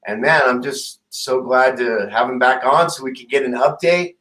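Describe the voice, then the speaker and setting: A man's voice: mid-range voice, moderate pace, rough recording. Effusive middle-aged male talk host. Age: 50s. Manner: warm, effusive, rambling. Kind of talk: casual interview chat.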